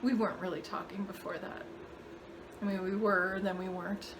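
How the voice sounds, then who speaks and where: A woman performing a dramatic monologue as a bitter, guilt-ridden mother, confessional, emotional and halting. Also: medium pitch, fairly even intonation, vocal fry, noisy background, lightly acted. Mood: resentful, guilty.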